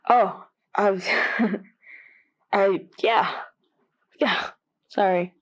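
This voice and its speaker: A woman playing a flustered, apologetic caller to a radio advice show in an audio drama, talking in a nervous, halting way. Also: medium pitch, fairly even intonation, lo-fi sound. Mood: flustered, exasperated. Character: flustered, apologetic young woman with a ghost girlfriend. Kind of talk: naturalistic audio drama phone-in.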